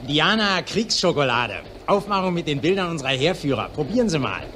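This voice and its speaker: A man's voice: fairly high pitch, sing-song, fairly quick. A man playing a chummy, boastful wartime travelling salesman in a 1940s radio drama. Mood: jovial, impressed. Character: brisk, self-satisfied wartime travelling salesman. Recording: slightly noisy 1940s radio recording.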